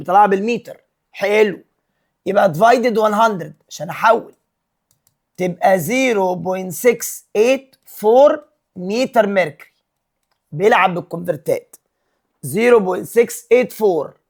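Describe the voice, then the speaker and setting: A male physics teacher with an Egyptian Arabic accent, working through exam problems step by step and mixing English terms and numbers into his Arabic. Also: high voice. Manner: mixes English terms and numbers into Arabic, reads questions slowly.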